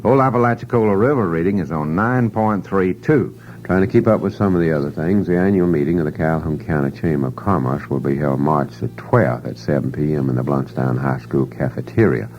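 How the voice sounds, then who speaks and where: A man reading morning community announcements on a 1970 local radio show, in a folksy, unhurried manner. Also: rumbling low. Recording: dull lo-fi archival radio recording.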